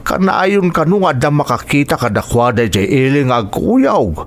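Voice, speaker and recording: Man acting an animated, gossiping older neighbour. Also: fairly high pitch, moderate pace. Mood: urgent, warning. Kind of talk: amateur radio-style drama acting.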